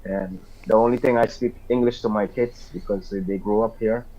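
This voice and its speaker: A man's voice: fairly low pitch, steady tone, warm tone, lo-fi sound. Man, Surinamese accent, Hindi first language, casual guest chat, stumbles and repeats words. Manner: halting, repeats words often. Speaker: guest singer.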